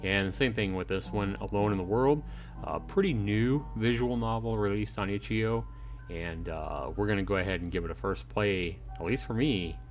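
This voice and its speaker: A man hosting a casual livestream, chatting informally and in a friendly way, addressing viewers by name. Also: sits low. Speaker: livestream host. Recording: clearly noisy home livestream.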